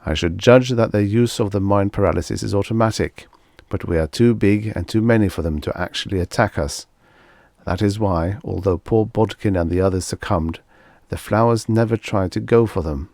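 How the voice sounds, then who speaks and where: Earnest, low-voiced male space explorer, read by a narrator. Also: normal speed, vocal fry, darkish tone, no echo, clean studio sound. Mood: grave, explaining. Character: thoughtful male space explorer explaining alien creatures.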